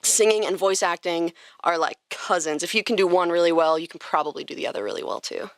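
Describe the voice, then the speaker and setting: A woman's voice: lowish voice, fast talker, no room sound. A woman hosting a podcast in casual, playful chat, recorded in a studio. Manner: informal, playful banter. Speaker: podcast host and voice actor.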